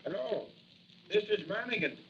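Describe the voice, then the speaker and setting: A man's voice: high voice, animated, moderate pace, husky, heavily acted. An actor playing an eager, brash policeman pal heard through a telephone in a 1940s radio serial. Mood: urgent, eager. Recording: muffled, noisy old radio, distant phone.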